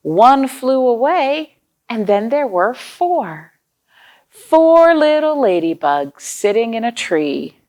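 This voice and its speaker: A woman's voice: fairly high pitch, sing-song, takes it slowly, darkish tone. A woman hosting a children's storytime, reading aloud, explaining things simply and asking the young listeners questions.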